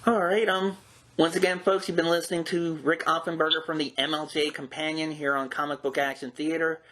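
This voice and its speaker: A man's voice: fairly high pitch, level tone, brisk, pushed and bright. A man hosting a podcast, interviewing in a casual, folksy show-host way.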